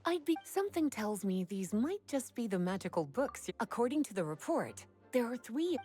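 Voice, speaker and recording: A woman voicing a teasing, elegant witch librarian in a video game, coy and unhurried in manner. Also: a little high, big pitch swings, mellow. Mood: musing. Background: game music.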